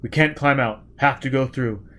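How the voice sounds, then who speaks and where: A man voicing a rough, driven male fugitive in an audiobook, speaking in terse, forceful phrases. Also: mid-range voice, normal speed, slight background noise, natural voice. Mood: urgent.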